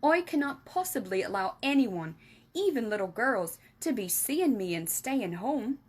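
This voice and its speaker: A woman's voice: a little high, very animated, unhurried, breathy edge, voice pushed up, full character voice. Woman voicing a big, exuberant, muddled friendly giant. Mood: fretful, emphatic. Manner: mangled grammar and nonsense words, emphatic. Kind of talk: animated children's storybook reading.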